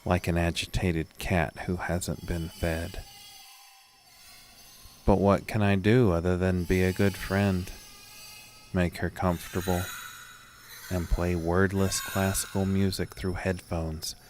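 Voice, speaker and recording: Very deep, slow horror narrator, acted by a man. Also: darkish tone, a little hiss or hum. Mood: ominous. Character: haunted man recounting a horror, weary and uneasy. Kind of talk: scripted first-person horror audio drama narration.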